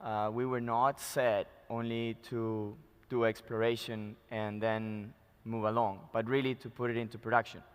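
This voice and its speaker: A man from Peru with a Spanish accent gives a company presentation to investors at a conference, speaking in halting but emphatic phrases. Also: fairly low pitch, little pitch movement, smooth. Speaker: mining company chief executive presenting. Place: large indoor conference room. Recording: echoey conference hall reverb.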